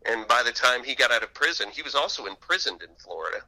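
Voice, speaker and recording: Man with a very bright, forceful voice. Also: mid-range voice, very animated, fast, poor audio. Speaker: interview guest, author. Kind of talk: conversational storytelling interview.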